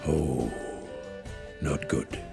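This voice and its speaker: A man voicing a brash, hearty, foul-mouthed dwarf warrior in a video game, gravelly and boisterous, with colloquial turns of phrase. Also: extremely low pitch, drawn-out words, exaggerated voice. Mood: surprised, concerned. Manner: boisterous, colloquial, colorful cursing. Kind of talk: video game cutscene acting. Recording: faint music behind the dialogue. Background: faint music.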